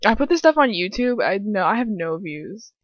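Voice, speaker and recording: A woman's voice: mid-range voice, sing-song, moderate pace, no room sound. A woman reads a story aloud like an amateur at home. Her delivery is casual and stumbling, slipping into self-deprecating chat. The sound is dull and lo-fi, dry with little room around it. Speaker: amateur fan fiction reader. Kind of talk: casual read-aloud with chatty asides.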